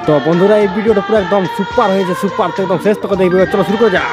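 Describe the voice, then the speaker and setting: A man presents a casual video intro at a very rapid pace. His voice is high for a man. Faint music plays behind him. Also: fairly even intonation, some chatter behind. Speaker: channel presenter.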